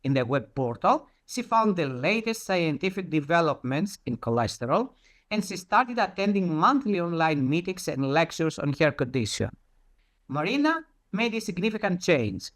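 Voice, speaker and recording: A presenter with a very animated, mid-pitched voice delivers a prepared talk. The phrasing is deliberate and storylike, with key points repeated for emphasis and plenty of rise and fall.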